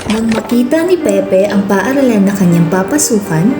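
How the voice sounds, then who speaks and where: A woman's voice: medium pitch, lively intonation, moderate pace. A woman narrating a scripted radio drama. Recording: roomy sound under clear music. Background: music.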